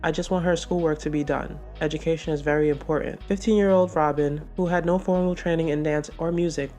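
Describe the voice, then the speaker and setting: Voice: medium pitch, steady tone, brisk, mellow. Video essay narrator reading a scripted documentary, music playing underneath. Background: background music.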